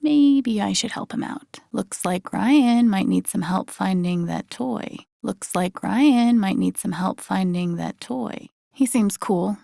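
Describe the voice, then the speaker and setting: A voice actress playing a wry, warm-hearted young woman who mutters her thoughts to herself in a naturalistic, understated video game style. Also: mid-range voice, slow, vocal fry, few pauses, dry recording, exaggerated voice. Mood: musing. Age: young adult. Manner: dry, understated, self-talking wit.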